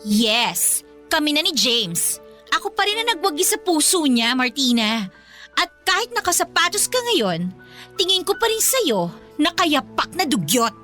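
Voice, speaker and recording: A spiteful young Filipino rich girl in a radio drama. Her high, sharp voice swings about as she sneers and taunts, with faint music underneath. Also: big pitch swings, a little slow, full character voice. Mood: haughty, mocking. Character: spiteful, snobbish young rich girlfriend, jealous rival.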